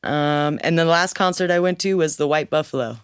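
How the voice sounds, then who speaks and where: Easygoing musician with a slightly raspy voice. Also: medium pitch, brisk, no echo, clean studio sound. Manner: easygoing, laughs often, talks freely. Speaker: musician guest. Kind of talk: casual interview chat.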